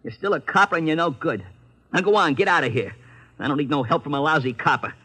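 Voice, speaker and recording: An actor playing a cocky, hot-tempered young American gang leader with slangy street-tough talk in an old radio crime drama. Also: male voice, on the high side, expressive, quick pace, bright, forceful tone, slightly rough, heavily acted. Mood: hostile, stubborn.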